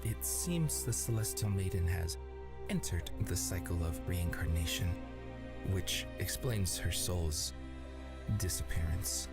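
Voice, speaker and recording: Solemn deep-voiced divine servant. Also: male voice, animated, a little slow. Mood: solemn, formal. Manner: formal, restrained. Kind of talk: fantasy visual novel voice acting. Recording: loud music behind the voice. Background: loud game music.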